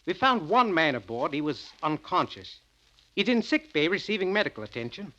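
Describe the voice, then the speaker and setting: A man's voice: a little high, sing-song, moderate pace, bright, forceful tone. A man playing a dutiful, respectful British chief petty officer, reporting in clipped, formal 1940s radio drama style. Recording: dull vintage radio recording.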